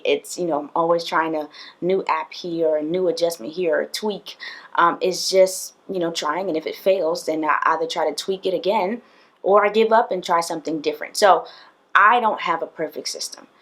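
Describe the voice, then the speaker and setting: A woman's voice: fairly low pitch, fairly bright tone. A young woman, a teacher, talks to the camera in a small indoor room. Her delivery is chatty and direct, full of fillers and asides to the viewer. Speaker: PE teacher and video host. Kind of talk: casual talking-head tips video.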